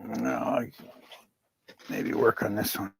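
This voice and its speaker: A man hosting a casual livestream from his workshop, talking in a slow, easygoing, rambling way about his projects. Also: fairly low pitch, fairly even intonation, gravelly, slightly rough, no echo. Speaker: livestream host in a garage workshop.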